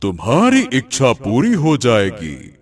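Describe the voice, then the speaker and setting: A man voicing a solemn magical tree spirit in a cartoon story, measured and deliberate, as if giving warnings. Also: medium pitch, wildly swooping pitch, soft background music, full character voice. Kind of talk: Hindi cartoon moral story acting.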